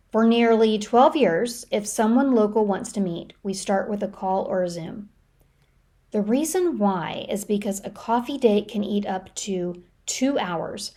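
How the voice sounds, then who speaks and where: A woman's voice: medium pitch, a little mellow. An American woman speaking solo as a podcast host, giving lightly scripted advice in a conversational, practical, explanatory way. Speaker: professional speaker.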